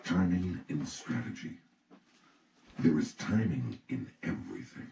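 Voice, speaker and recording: Very deep, gravelly man reading aloud. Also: dark tone. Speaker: audiobook reader. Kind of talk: scripted audiobook reading. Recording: roomy, distant mic, faint noise.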